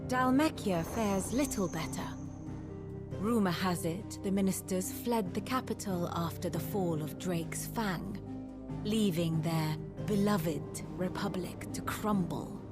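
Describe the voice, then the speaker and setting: A grave, weary woman narrates a fantasy game's world in ruin. She speaks slowly and formally, with foreboding. Loud music plays behind her. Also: on the low side. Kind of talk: dramatic scripted fantasy game narration.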